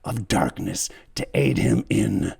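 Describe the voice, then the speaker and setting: A man doing a croaking, dying old servant. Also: low-pitched, animated, slow, darkish tone, exaggerated voice. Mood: bitter. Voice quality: croaking whisper. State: dying, gasping. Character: dying old male servant, gasping, bitter.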